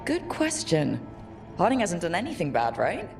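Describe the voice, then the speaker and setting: A woman playing a steady, supportive hero and party leader in a fantasy game, speaking calmly and reassuringly. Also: on the low side, normal speed, vocal fry, music in the background, heavily acted. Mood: concerned, wry. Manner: calm, reassuring.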